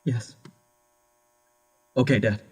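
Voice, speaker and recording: Man acting as a slow, weary young son. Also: medium pitch, steady tone, a little mellow, breathy edge. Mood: weary, submissive. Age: young adult. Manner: subdued, hesitant, meek. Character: weary, submissive young son of murderous father. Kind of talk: dramatic horror audio drama acting.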